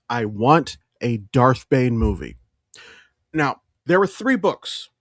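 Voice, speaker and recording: A man's voice: medium pitch, a bit bright, no echo, clean studio sound. A man co-hosting a podcast, talking casually and emphatically like an opinionated, enthusiastic fan explaining things. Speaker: podcast co-host.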